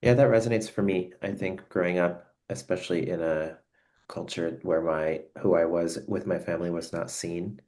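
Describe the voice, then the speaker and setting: A man hosting a reflective conversation over a video call, speaking in an earnest, searching and halting way. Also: low voice, fairly even intonation, dark tone. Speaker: host.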